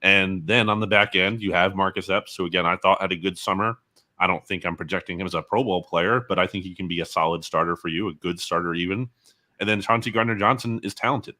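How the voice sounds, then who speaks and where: A man speaking as a guest sports analyst in a football interview, measured and analytical. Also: low voice, steady tone, bright, forceful tone.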